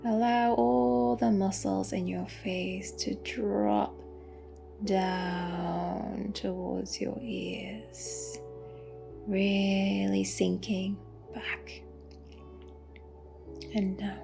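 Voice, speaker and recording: A woman leads a guided meditation gently and soothingly, in a soft, breathy voice that often drops close to a whisper. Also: medium pitch, little pitch movement. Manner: gentle, unhurried, with long pauses. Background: music.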